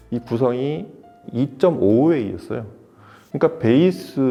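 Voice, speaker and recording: Mellow-voiced man explaining speaker tech. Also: medium pitch, creaky. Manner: technical, explains step by step with restarts. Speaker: audio shop presenter. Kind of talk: explanatory product talk. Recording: soft music behind voice.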